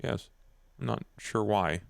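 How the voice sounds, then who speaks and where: A stoic male vampire, played by a man at a roleplay table, speaks very low with a gravelly creak. He is terse, formal and candid, delivering everything deadpan. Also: takes it slowly, no echo, exaggerated voice. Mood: frank, calm.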